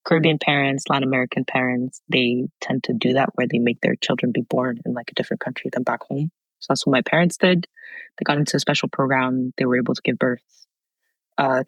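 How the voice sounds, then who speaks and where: A woman's voice: rumbling low, no echo, highs cut off. A young woman from Haiti and Canada, a podcast guest, talking about her background in a casual, rambling way. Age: young adult. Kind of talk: casual interview talk.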